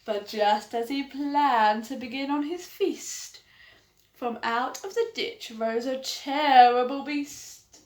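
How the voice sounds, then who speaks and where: A woman reading a rhyming picture book aloud for children with an animated, sing-song delivery. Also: high-pitched, slow pace. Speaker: storytime reader. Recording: echoey room.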